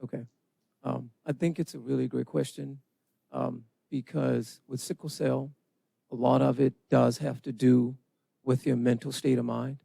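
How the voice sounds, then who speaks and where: Guy in his 40s, raspy gravelly voice, dry close sound, easygoing panelist. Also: mid-range voice, level tone, unhurried, dark tone, dry recording. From Charleston, South Carolina. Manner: casual, earnest, uses ums. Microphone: handheld microphone.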